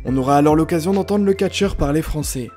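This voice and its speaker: A man's voice: a little high, fast. A man hosting a wrestling countdown video with scripted narration. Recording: music behind the narration. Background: background music.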